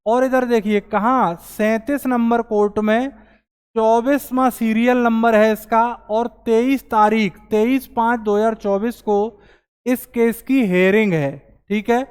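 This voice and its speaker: A man teaching as an exam coaching teacher, explaining recruitment news to students in an earnest, explanatory way and slowing down to stress his points. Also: very high-pitched, steady tone, smooth, big-room sound.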